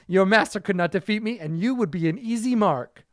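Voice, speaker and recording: A man's voice: high-pitched, no room sound, studio quality, pitched above the performer's own voice, lightly acted. A man putting on a smug, gloating ninja villain. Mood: threatening. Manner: gloating, mocking, drawn-out. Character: smug ruthless male Spanish ninja assassin.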